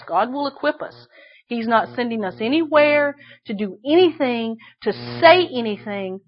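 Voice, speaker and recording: A woman preaching a sermon with a shrill voice, emphatic and repeating phrases for stress. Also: lo-fi sound.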